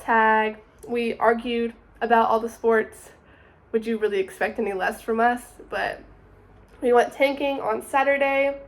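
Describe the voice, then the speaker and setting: A woman of twenty, narrating her summer in a chatty, upbeat vlog voice-over. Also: a little high. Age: 20s. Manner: chatty, upbeat, says "super" often. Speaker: vlogger.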